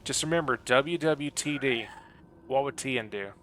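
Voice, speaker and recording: Male game streamer: bright, crisp-edged tone, loose joking banter with chat, slight background noise. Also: mid-range voice, unhurried. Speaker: video game live streamer. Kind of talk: casual chat with stream viewers while gaming. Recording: clearly audible background noise.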